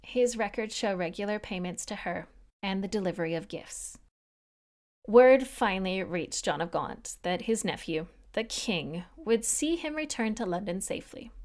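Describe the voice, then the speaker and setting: A woman's voice: medium pitch, expressive, moderate pace, darkish tone. A woman hosting a history podcast, reading scripted narration in an explanatory way with casual, lightly wry asides.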